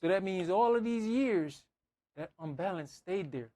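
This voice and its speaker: A man with a fairly high voice delivers a conversational sermon. He speaks as a preacher, driving points home with emphatic repetition and everyday examples. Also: animated, a little slow, mellow. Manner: emphatic, repeating phrases, acting out dialogue. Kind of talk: conversational sermon with scripture reading and anecdotes.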